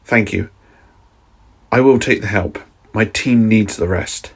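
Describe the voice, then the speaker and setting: Man putting on a husky, weary ranger hero. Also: fairly low pitch, slow, pitched below the performer's own voice, heavily acted. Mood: resigned. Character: gruff, weary, stubborn male ranger hero.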